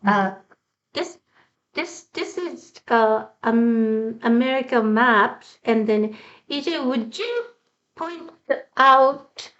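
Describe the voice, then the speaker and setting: A high-pitched Japanese woman hosts the chat, asking questions in slow, halting English and summing up in brisk Japanese. The recording is a little dull and lo-fi. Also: expressive, fairly bright tone. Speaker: host, English-learning show. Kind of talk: bilingual casual interview.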